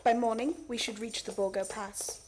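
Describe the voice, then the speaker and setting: An actress playing an earnest heroine in a vampire radio play, speaking dramatically. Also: female voice, a little high, fairly even intonation, moderate pace, noisy background, lightly acted. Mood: tense, resolute.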